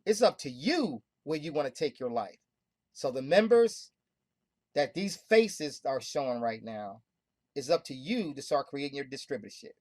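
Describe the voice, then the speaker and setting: A woman hosting a group call, pitching hard with emphatic, repetitive, preaching talk. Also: lively intonation, moderate pace, a little mellow, no echo, studio quality. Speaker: host of a money club call.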